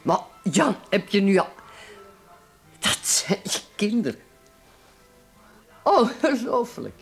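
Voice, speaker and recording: A woman's voice: medium pitch, sing-song, takes it slowly, slightly rough, faint music behind, heavily acted. An actress playing a tipsy, prickly middle-aged wife and mother in a radio drama, theatrical and sarcastic. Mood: angry, defensive.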